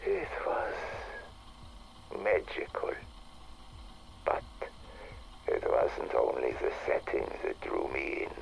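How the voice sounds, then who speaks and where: An actor playing an elderly occult scholar in a game audio log, reminiscing in a halting, theatrical way with a breathy, gravelly voice. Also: male voice, rumbling low, huge pitch swings, a little hiss or hum, full character voice, poor audio. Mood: wistful, awed. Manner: halting, theatrical, savoring words. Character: elderly occult scholar reminiscing about his boyhood, wonderstruck.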